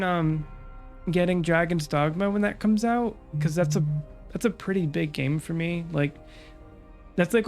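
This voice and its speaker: A young woman VTuber chatting calmly about her stream plans, her nose stuffed up from a cold, with lots of fillers. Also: on the low side. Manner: calm, relaxed, rambling with many fillers. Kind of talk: casual stream chat.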